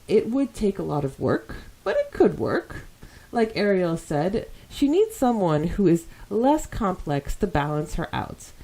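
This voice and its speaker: A woman hosting a podcast, chatting casually and reading listener comments aloud in an animated way. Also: fairly low pitch, poor audio. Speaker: podcast host.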